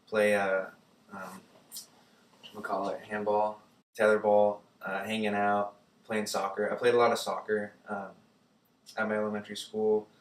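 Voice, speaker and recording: Young German-born man, low and flat. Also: moderate pace, pauses often, reverberant, distant mic. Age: young adult. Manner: relaxed, many fillers like "like" and "uh". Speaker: interviewee. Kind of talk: casual personal storytelling.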